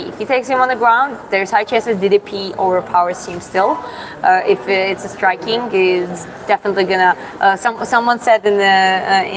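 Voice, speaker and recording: A woman's voice: mid-range voice, rough recording. A young woman from Georgia with a Georgian accent, chatting on a livestream, answering comments with many fillers and restarts. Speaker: livestream host. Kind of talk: casual livestream chat reading comments.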